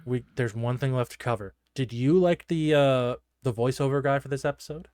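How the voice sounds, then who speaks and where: A man's voice: medium pitch, fairly quick, darkish tone, clear, resonant tone, dry recording, clean studio sound. A man co-hosting a casual, chatty discussion show, given to giggly laughter. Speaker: podcast co-host. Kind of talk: casual discussion of a horror anime episode.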